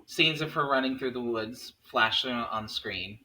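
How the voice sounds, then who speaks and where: A man's voice: medium pitch, unhurried, pushed and bright, a little hiss or hum, low-quality recording. A man reading stage directions aloud as the narrator of a table read.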